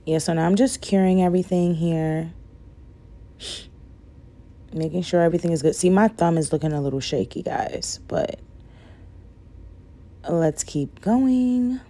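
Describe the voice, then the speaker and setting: A young woman talks through her nail work in a loose, rambling way, sprinkling in "like" and "guys." Her voice sits low and mellow. It carries a slight rasp from a cold. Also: measured pace, pauses often. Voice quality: raspy. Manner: rambling, says "like" and "guys" a lot. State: raspy from a cold. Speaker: nail vlogger. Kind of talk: casual chatty tutorial voice-over.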